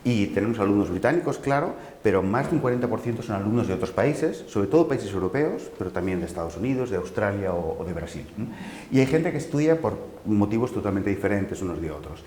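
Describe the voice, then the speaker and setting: A man speaking on camera at a language school, explaining its classes in a measured way with some fillers. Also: fairly low pitch, big pitch swings, a little mellow. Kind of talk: explaining on camera during a report. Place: language school in London, indoors. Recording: echoey room, faint background noise.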